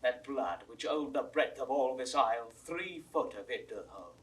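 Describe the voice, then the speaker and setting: A man's voice: medium pitch, animated, normal speed, breathy edge. A man acting an earnest, indignant English nobleman in a classical Shakespeare audio drama, declaiming with emphatic rhetoric. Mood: bitter, indignant.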